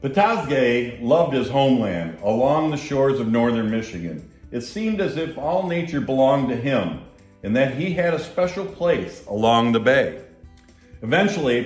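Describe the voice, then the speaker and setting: American man reading a storybook, echoey room. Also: medium pitch. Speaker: senator reading a children's book aloud. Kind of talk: children's storybook read-aloud. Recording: echoey room with faint music.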